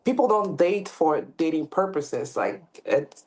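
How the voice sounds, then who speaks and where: A woman's voice: sits low, animated, unhurried, darkish tone, poor audio. A woman from Cameroon in her thirties, a podcast guest talking casually with many fillers and restarts. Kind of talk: casual interview talk.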